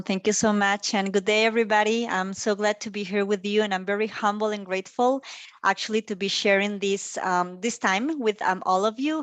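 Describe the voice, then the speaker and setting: A woman in her thirties, from Costa Rica, talks through slides for colleagues on a video call. Her pitch sits mid-range for a woman, and she fills pauses with a lot of ums. The sound is dry and close, with no room around it. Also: clear, resonant tone, no echo. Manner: warm, chatty, filler-heavy with frequent ums. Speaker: guest speaker. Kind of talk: online presentation to colleagues.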